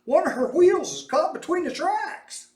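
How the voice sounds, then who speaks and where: A man voicing an exasperated, shouting trolley driver in a storybook reading. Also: very high voice, expressive, a little slow, reverberant, voice pushed up. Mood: frantic.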